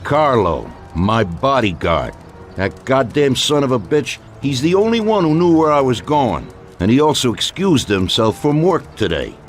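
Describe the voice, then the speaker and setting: An actor playing an older American mafia boss, genial but ruthless, talking in colloquial gangster style in a game cutscene. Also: male voice, medium pitch, big pitch swings, normal speed, soft background music, natural voice. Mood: grimly vengeful. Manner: conversational, colloquial gangster talk. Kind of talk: video game cutscene acting.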